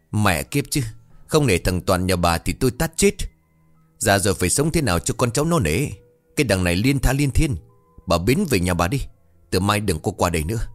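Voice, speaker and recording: Man acting menacing, hot-tempered village bully. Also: fairly low pitch. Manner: barking, threatening, coarse. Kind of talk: dramatic audio-story dialogue.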